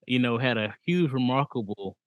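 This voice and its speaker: A man's voice: mid-range voice, lively intonation, moderate pace, dry recording, lo-fi sound. Man co-hosting a podcast, dry close sound. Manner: reactive listener, laughs and exclaims in disbelief. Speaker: podcast co-host. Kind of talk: casual chat.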